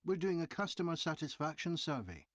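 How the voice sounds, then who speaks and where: An actor playing a polite train company representative asking survey questions in a scripted listening-test dialogue, clearly enunciated. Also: mid-range voice, very animated, a little fast, no room sound, lightly acted. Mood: polite, inquiring.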